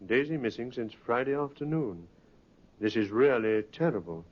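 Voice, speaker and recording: An actor playing a courteous, businesslike police inspector in an old radio drama, speaking in a clipped, polite way. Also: male voice, mid-range voice, expressive, normal speed, lightly acted. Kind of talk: 1950s radio drama acting. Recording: muffled old radio recording.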